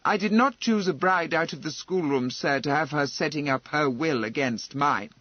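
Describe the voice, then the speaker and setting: A man's voice: on the high side, normal speed, dry recording, lo-fi sound, lightly acted. A man voicing a cold, unbending aristocratic lord with a curt, matter-of-fact manner in a novel reading. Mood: stern, commanding.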